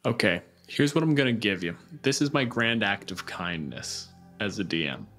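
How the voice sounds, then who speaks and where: A man's voice: on the low side, animated, normal speed, fairly bright tone, soft background music. A man acting as game master in a tabletop roleplaying game, talking casually with some swearing.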